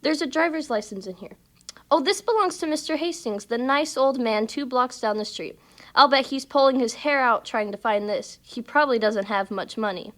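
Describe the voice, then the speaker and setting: A woman playing a gentle, principled mother in a puppet show, patiently teaching her son. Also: high-pitched, normal speed. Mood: patient, instructive. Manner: patient, instructive. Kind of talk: church puppet show dialogue.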